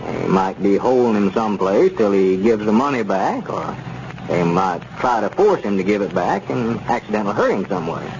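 A man playing a sensible, suspicious small-town friend in a 1930s radio serial, with a folksy, measured way of reasoning. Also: on the low side, very animated, creaky, a little mellow. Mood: worried, suspicious. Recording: muffled, noisy 1930s radio recording.

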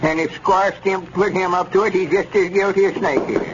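A man's voice: high-pitched, fairly even intonation, normal speed, lightly acted. A man playing a gullible, stubborn country storekeeper in a 1930s radio comedy, with a rural Arkansas accent and an earnest, rustic drawl. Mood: indignant, riled. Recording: muffled, noisy 1930s radio recording.

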